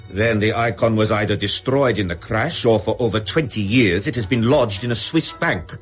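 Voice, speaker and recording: An actor playing a brisk, probing Soviet investigator in a radio drama. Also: male voice, fairly low pitch, faint music behind, close to an everyday voice. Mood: probing, intent.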